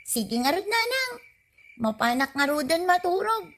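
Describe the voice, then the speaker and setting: A sweet young daughter with an Ilocano accent and a high-pitched voice. She sounds eager and obliging, with lively inflection suited to radio drama. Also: measured pace, heavily acted. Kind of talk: comedy radio drama acting.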